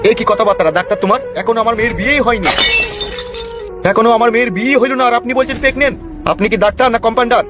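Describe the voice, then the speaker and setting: A man's voice: very high-pitched, very fast. A man voicing a wounded, scolding village father in a cartoon, with a lamenting manner. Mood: shocked. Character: indignant, wounded village father, foul-mouthed and shame-stricken. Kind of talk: cartoon comedy-drama voice acting. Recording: dull audio with music behind.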